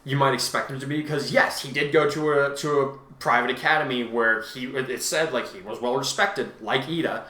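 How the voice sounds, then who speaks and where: A man with a mid-pitched voice talks in a very echoey room. He co-hosts a casual discussion of his own writing, often halting and rewording as he searches for the right phrase. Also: bright, forceful tone. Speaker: fan fiction writer and co-host.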